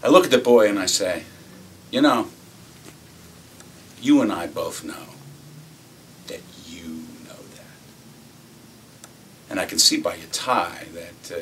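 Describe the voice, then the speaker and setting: An actor playing a cynical, swaggering corporate executive, telling his story in a theatrical monologue with deliberate pauses. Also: low voice, a bit bright. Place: acting workshop room. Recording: distant mic with faint room noise.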